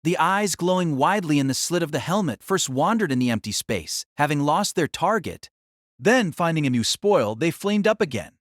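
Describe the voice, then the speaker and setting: A man's voice: fairly high pitch, big pitch swings, moderate pace, no echo, studio quality. A man narrating an audio drama in a dramatic, scripted style that rises and falls with the action.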